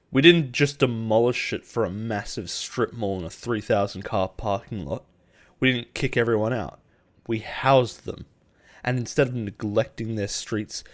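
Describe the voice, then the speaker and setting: A man acting as a low-voiced, possessive CEO boss. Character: possessive, persuasive male CEO boss, smooth and protective. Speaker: voice actor in a roleplay audio. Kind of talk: intimate dramatic roleplay monologue.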